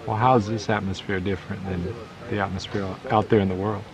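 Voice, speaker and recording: A man's voice: lowish voice, flat delivery, fairly quick, crowd noise. A man interviewing people at a camp outdoors, asking short, prompting questions.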